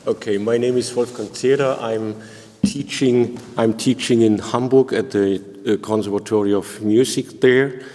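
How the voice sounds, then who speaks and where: A man's voice: medium pitch, steady tone, a little slow, dark tone. A middle-aged or older man with a German accent explaining things informally as a panelist. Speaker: organ professor, panelist. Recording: echoey hall, long reverb.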